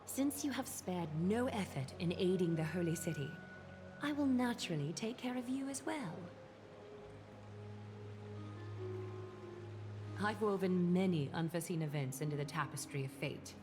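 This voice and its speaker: A woman playing a gracious, calculating noblewoman of a holy city in a video game, speaking in formal, measured, eloquent phrases. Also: on the low side, expressive, music in the background, lightly acted.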